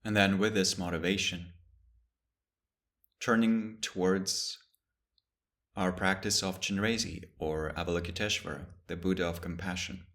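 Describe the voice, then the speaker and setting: Very low-voiced man guiding a meditation. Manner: slow, calm, with long pauses between phrases. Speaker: meditation teacher. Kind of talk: guided meditation.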